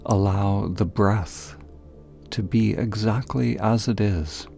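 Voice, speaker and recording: A man narrating a guided meditation in a calm, gentle, deliberate way, leaving pauses between phrases. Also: on the low side, vocal fry, faint music behind.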